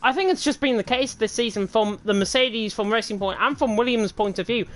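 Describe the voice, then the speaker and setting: A woman co-commentating an online racing league, talking quickly and leaning hard on the numbers. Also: a little high. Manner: fast-talking, lively and emphatic. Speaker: esports race commentator. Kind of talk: live sim racing commentary.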